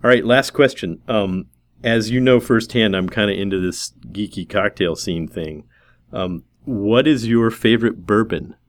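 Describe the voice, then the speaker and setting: A man's voice: on the low side, measured pace. A man in his forties hosting a podcast, chatting casually and joking easily with his guest. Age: 40s. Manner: relaxed and joking, laughs easily. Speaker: podcast host. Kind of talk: casual interview chat.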